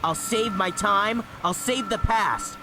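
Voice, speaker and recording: A man voicing a grave, resolute time-travelling hedgehog hero in an animated comic dub. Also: sits high, slow pace. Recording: loud music behind the voice.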